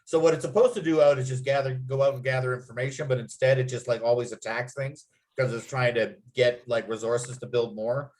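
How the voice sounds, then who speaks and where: Man, medium pitch, halting but keen, informal group discussion, thinks out loud. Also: steady tone. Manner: halting, enthusiastic, rambling. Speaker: writer leading a table-read discussion. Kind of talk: casual group chat.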